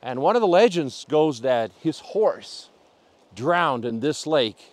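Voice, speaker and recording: A man's voice: on the high side, very animated, slow pace. A man hosting a travel vlog outdoors by a mountain lake, upbeat and friendly as he interviews people. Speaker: travel vlogger host interviewing. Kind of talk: on-location vlog chat.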